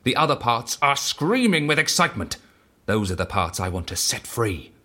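An actor playing a reckless, exhilarated man on the run who lives by the dice, in an audio drama, excitable and evangelical yet matter-of-fact about outrageous deeds. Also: medium pitch, normal speed. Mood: exhilarated, persuasive.

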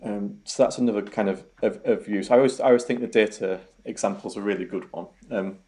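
A man's voice: on the low side, fairly even intonation, fast, a little mellow. A young man with a Yorkshire accent, a researcher answering interview questions in a hesitant way, full of ums and repeated words. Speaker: robotics researcher guest.

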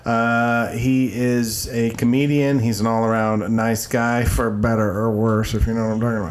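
A man's voice: mid-range voice, level tone, moderate pace, heavy background noise. A man hosting a casual podcast, joking around, swearing freely and laughing often. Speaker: podcast host.

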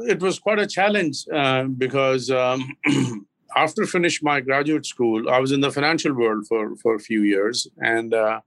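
An older man from Pakistan, in his sixties or beyond, with a Pakistani accent, talking reflectively as an interview guest in a measured, hesitant way with frequent pauses. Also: mid-range voice, a bit bright, dry recording. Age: 60s or older. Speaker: interview guest, business owner.

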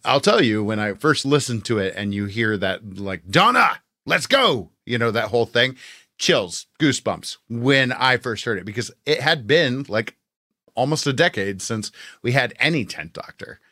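A man's voice: mid-range voice, lively intonation, moderate pace, sharp tone, dry recording, clean studio sound. A man co-hosting a podcast, chatting informally and enthusiastically like a fan. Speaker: podcast co-host. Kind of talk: casual fan discussion.

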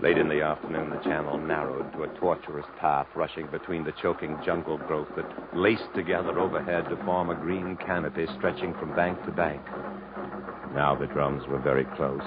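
An actor playing a resolute, commanding adventurer hero in a 1950s radio drama, speaking earnestly and in a clipped way. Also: male voice, a bass voice, level tone, normal speed, very noisy. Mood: brooding narration. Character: resolute adventurer hero fleeing through jungle, also narrating. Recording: muffled old radio broadcast with music.

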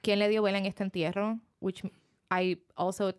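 A woman's voice: medium pitch, little pitch movement, fairly quick, clear, resonant tone, dry recording, studio quality. A young woman from Puerto Rico with a Puerto Rican accent, a podcast guest chatting casually with lots of fillers and laughs. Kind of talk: casual chat.

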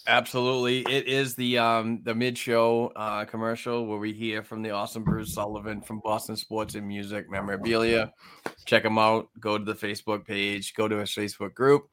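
Bright, forceful male podcast host. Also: fairly low pitch, level tone, normal speed, smooth. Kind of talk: casual podcast hosting.

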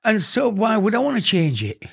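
A man's voice: high-pitched, huge pitch swings, fairly quick, vocal fry, a bit bright, breathy edge. Jokey man with a Yorkshire accent. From Yorkshire, England. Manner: jokey, blunt, laughs often. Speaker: interviewee. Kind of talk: casual conversation.

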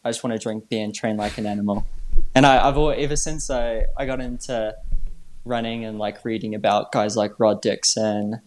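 A man in his twenties from New Zealand, chatting casually as a podcast guest in a laid-back, halting, slangy way. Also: on the low side, steady tone, a little hiss or hum, poor audio. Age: 20s. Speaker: podcast guest, trail runner. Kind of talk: casual chat.

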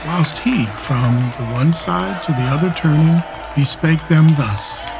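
Elderly loyal duke, stern, fiery, fairly high voice, measured verse delivery, radio play. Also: male voice, creaky, over loud music, over a noisy crowd. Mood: solemn, awed. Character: stern elderly English duke, proud, loyal, quick to fury. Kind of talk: Shakespeare radio play acting, archaic verse.

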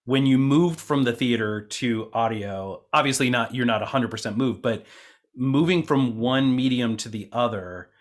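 A man's voice: medium pitch, normal speed. A man acting as interviewer in a conversational discussion, informal and thinking aloud as he rephrases his questions. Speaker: interviewer, co-producer.

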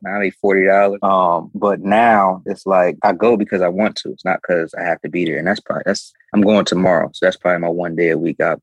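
A man's voice: sits low, dry recording, rough recording. A man in his forties from Trenton, New Jersey, telling his story as a podcast guest in loose, slangy casual talk full of fillers. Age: 40s. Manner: loose and slangy, many fillers like "you feel me".

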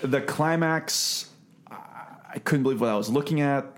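A man hosting a casual podcast review, talking haltingly and repeating words as he thinks. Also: medium pitch. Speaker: podcast host. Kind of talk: casual film review discussion.

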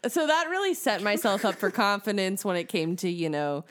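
A woman's voice: medium pitch, very animated, brisk, a crowd in the background. A woman co-hosting a podcast, chatting casually, rambling with fillers and restarts and joking at her own expense. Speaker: podcast co-host. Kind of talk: casual chat.

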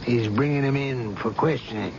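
Actor as a measured senior policeman, noisy radio. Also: medium pitch, lightly acted. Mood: businesslike. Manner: measured, authoritative. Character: methodical, patient senior police officer, a man. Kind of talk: 1970s radio drama acting. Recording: muffled, noisy old radio recording.

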